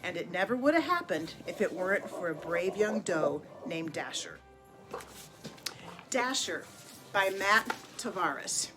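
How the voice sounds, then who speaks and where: A woman narrating a children's picture book in an expressive, deliberate storytelling manner with dramatic pauses. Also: on the low side. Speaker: storybook reader.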